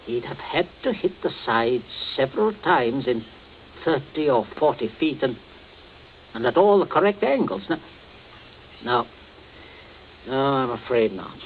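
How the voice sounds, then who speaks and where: A man's voice: medium pitch, sing-song, measured pace, pauses often. An actor playing a domineering, anxious middle-aged father in a radio drama, stern and pompous, giving clipped orders. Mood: stern, controlled. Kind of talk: 1940s radio drama acting. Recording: muffled, noisy vintage radio recording.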